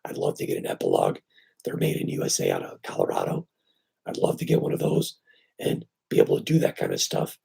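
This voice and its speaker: A man with a hoarse voice still weak after surgery, chatting casually and rambling on a live stream about his knife shop. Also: very deep voice, wildly swooping pitch, quick pace, dark tone. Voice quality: very raspy, breaking into breathy near-whisper. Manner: rambling, easygoing, filler-heavy.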